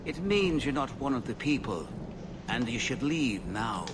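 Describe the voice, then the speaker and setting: A hostile, proud elf guard for a fantasy game. His stern voice is mid-pitched and swings expressively over clear background noise. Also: big pitch swings, unhurried. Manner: stern, threatening. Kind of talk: fantasy video game acting. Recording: noisy game capture.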